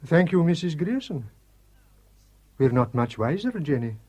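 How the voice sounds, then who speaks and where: Husky, patient father. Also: on the high side, very animated, unhurried, mellow, exaggerated voice. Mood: concerned. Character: middle-aged father, calm, patient questioner. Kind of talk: radio drama acting.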